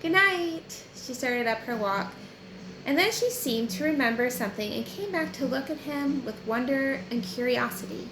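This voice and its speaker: A woman's voice: a little high, normal speed. A woman reading a novel aloud as narrator, in a storytelling audiobook style. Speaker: book reader. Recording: roomy echo with clear background noise.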